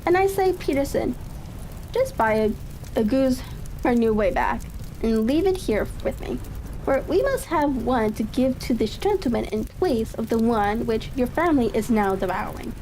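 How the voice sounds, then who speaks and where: A woman voicing a self-assured, genial gentleman detective in a storybook reading, politely and with measured phrasing. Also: a little high, animated. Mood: brisk, commanding. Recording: faint crackling ambience behind.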